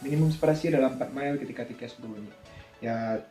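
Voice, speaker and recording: A man's voice: mid-range voice, rapid-fire, warm tone. A man giving an informal, explanatory tutorial, chatting and thinking aloud as he poses questions and answers them. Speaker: air traffic controller presenting a tutorial. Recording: echoey room with background music.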